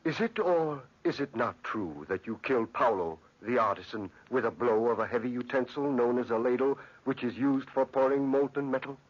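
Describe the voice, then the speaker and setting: An actor playing a stuffy, self-important town judge who speaks formally and harrumphs, in old-time radio style. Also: male voice, mid-range voice, normal speed, few pauses, lightly acted. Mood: officious. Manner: formal, pompous, throat-clearing and harrumphing. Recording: dull lo-fi old radio recording.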